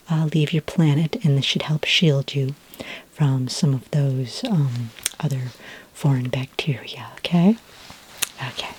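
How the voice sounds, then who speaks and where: A woman playing a kindly alien skin care consultant in an ASMR roleplay, speaking in a breathy near-whisper with a gentle, unhurried, reassuring manner. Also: low voice, a little hiss or hum, low-quality recording. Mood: soothing, helpful.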